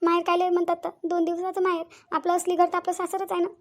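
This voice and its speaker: A woman's voice: very high-pitched, monotone, quick pace. A woman playing a tender, earnest young married village woman in a family drama, with a Varhadi Marathi accent and an emotive, pleading way of repeating phrases. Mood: wistful. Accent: Varhadi Marathi from Vidarbha. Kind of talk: amateur family drama acting.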